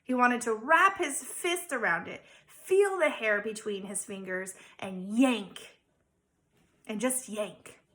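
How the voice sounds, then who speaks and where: A woman, a teacher, reading a children's story aloud with dramatic emphasis and theatrical pauses. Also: a little high, sharp tone. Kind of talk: expressive children's storybook narration.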